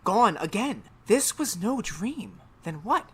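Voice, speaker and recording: A woman's voice: mid-range voice, very animated, slow, slightly rough, exaggerated voice. A woman playing a tormented, unravelling murderer in a retro radio horror drama, speaking in feverish, melodramatic broken phrases. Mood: brooding. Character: tormented murderer Daryl, haunted, unravelling, insisting on sanity. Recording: noisy radio drama recording.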